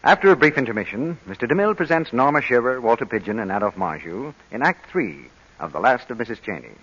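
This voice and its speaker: A man's voice: medium pitch, very animated, fast talker, fairly bright tone, husky. A man announcing an old-time radio show and reading sponsor copy in a formal period style. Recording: dull vintage radio broadcast recording.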